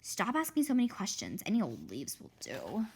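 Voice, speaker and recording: A woman voicing a bossy, excitable young girl with big ideas in a children's book read-aloud, insistent and sure of herself. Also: medium pitch, moderate pace, slight background noise.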